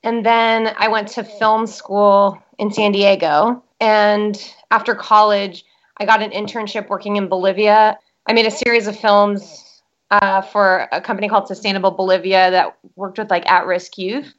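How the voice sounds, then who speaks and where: A young woman from the United States talks through an interview in a loose, chatty way, with a filler in nearly every phrase. Her voice sits at a middle pitch. The recording sounds a little dull and muffled. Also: level tone, moderate pace. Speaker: documentary producer. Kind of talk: interview answers.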